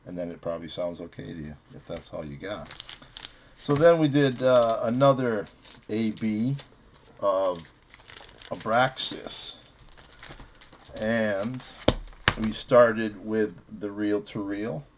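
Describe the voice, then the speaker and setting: A man's voice: on the low side, slow, pauses often, a little hiss or hum. A man hosting a music vlog, chatting casually as he reviews records with friends.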